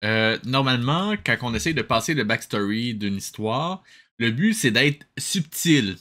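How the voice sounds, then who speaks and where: A male host with a bright, forceful voice of medium pitch. He comments casually and sarcastically, with animated swings in intonation. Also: a little slow. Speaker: host of a comedic cartoon review show.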